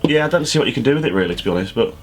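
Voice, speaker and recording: A man's voice: mid-range voice, lively intonation, very fast, soft background music. A British man co-hosting a casual film chat, bantering and often ending on questions. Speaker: co-host. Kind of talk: casual banter about films.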